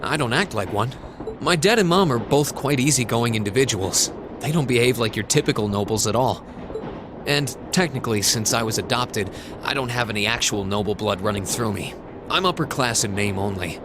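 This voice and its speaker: Man playing an earnest young student, rumbling background. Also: expressive, normal speed, background noise, lightly acted. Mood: sincere, reassuring. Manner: hesitant, sincere. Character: earnest, gentle young male student of adopted noble birth. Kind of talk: video game cutscene dialogue acting.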